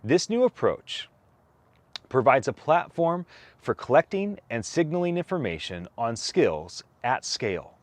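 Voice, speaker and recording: Man reading aloud with lively, swinging intonation. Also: mid-range voice, a little slow, no room sound. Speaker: co-author reading a whitepaper aloud. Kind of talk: read-aloud document narration.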